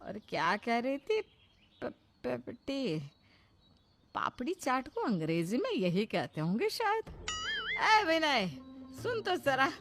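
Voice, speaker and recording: A woman's voice: a little high, a bit bright, heavily acted. Doting, naive older mother played by a woman. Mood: puzzled. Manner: soothing, simple-minded, motherly. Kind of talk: cartoon voice acting.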